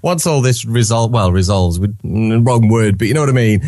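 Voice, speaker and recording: A man's voice: on the low side, normal speed, darkish tone, no echo, clean studio sound. A man hosting a podcast, chatting casually with tag questions and stumbling restarts. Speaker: podcast host. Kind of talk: casual conversation.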